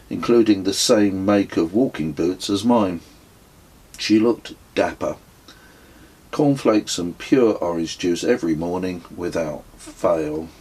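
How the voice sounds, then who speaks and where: A man narrates his own memoir as an audiobook. His voice is on the low side and quite husky, and he reads at a very unhurried pace. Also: darkish tone, rough recording. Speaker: author reading his own book. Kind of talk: scripted audiobook narration, first-person memoir.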